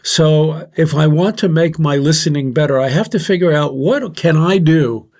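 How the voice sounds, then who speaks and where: An older man leads a group talk in a loud, instructive way, counting off his points as he goes. His voice sits a little high for a man. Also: normal speed. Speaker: host. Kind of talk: discussion facilitator.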